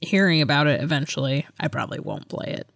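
A woman's voice: deep voice, quick pace, fairly bright tone, dry recording, clean studio sound. A woman in her thirties chatting casually as a podcast co-host, with a chatty, self-deprecating manner. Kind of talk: casual chat.

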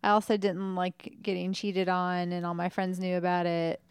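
Small-voiced woman, gravelly and clear. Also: fairly low pitch, monotone, moderate pace, dry recording, clean studio sound. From Tennessee. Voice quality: small light voice. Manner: wry, teasing, laughs often. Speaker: podcast co-host. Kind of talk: casual chat.

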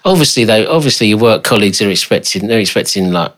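A man's voice: lowish voice, animated, fast, a bit bright. A middle-aged man with an English accent, hosting a conversational family interview and speaking thoughtfully with frequent restarts. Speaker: podcast host.

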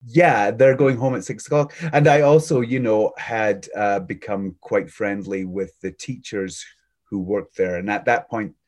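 A man with a low, booming voice chats about his work as a preschool teacher. He is unhurried and reflective and often restarts his sentences mid-thought. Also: fairly even intonation, a little mellow. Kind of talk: casual interview chat.

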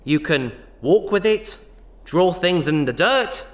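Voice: medium pitch, animated, takes it slowly. An actor playing an easygoing, glib, apologetic treasure hunter with a casual manner in a sci-fi audio drama. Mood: glib, defensive. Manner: glib, casual, self-justifying.

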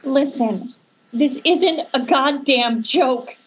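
A woman's voice: high voice, takes it slowly, lo-fi sound. A woman playing an anxious, guilt-ridden young mother in an earnest amateur script read over a noisy internet call. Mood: anxious, urgent. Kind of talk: amateur screenplay table read.

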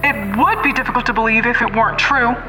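An earnest, proud lady music teacher, acted by a woman in a radio melodrama. Her voice sits a little far from the mic, with loud music underneath. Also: a little high, fast talker, lightly acted. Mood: defiant. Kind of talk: old-time radio mystery acting.

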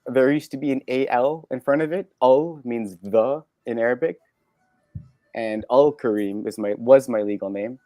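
A man with a Canadian accent, a podcast guest telling personal stories candidly, halting and correcting himself in an animated way. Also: medium pitch, dry recording, studio quality. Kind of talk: candid personal storytelling in conversation.

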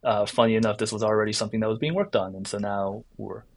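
A man's voice: on the low side, fairly even intonation, fast, creaky. A man from South Korea, a games writer guesting on a podcast, talking casually with many restarts and fillers.

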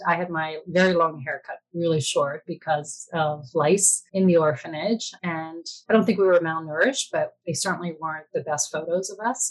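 A woman's voice: sits low, low-quality recording. An American woman in her fifties, reflectively telling personal stories as an interview guest. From born in Korea, raised in the United States. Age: 50s. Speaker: interview guest, Korean adoptee.

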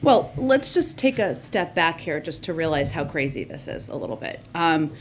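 A woman answering a reporter at a press briefing, measured and explanatory. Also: low voice, sing-song, background noise.

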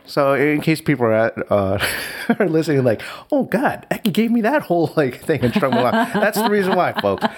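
A man's voice: fairly high pitch, big pitch swings, fairly quick. A man hosting a casual conversation, telling stories animatedly, often laughing and restarting his sentences. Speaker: podcast host.

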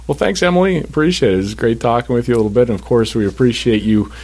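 A man's voice: mid-range voice, fast, darkish tone. A man hosting an interview, asking easygoing questions and chiming in with short acknowledgments. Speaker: interview host. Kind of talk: casual interview.

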